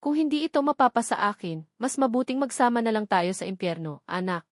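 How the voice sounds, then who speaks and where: A woman's voice: a little high, lively intonation, fast, dry recording, clean studio sound, natural voice. A woman voicing an arrogant, desperate male villain in a dramatic story, taunting and threatening. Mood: menacing, unhinged.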